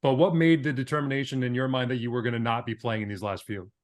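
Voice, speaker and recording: Fast-talking man hosting a podcast. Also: medium pitch, steady tone. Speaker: podcast host and interviewer. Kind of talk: casual interview chat.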